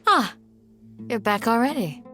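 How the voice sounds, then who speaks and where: A woman voicing a composed, gentle and resolute village guardian in a video game, with measured delivery. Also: a little high, expressive, music in the background. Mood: calm, knowing.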